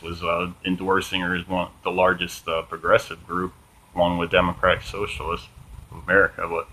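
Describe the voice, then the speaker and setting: A man hosting a small political commentary channel, reading from an article and chatting casually, with a flat monotone delivery and lots of ums and uhs. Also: sits low, poor audio. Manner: swears casually, says "man" often.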